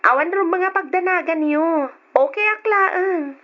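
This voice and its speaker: A woman's voice: very high-pitched, unhurried. An actress playing a cheerful young woman student in a radio drama. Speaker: student character. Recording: dull lo-fi radio recording.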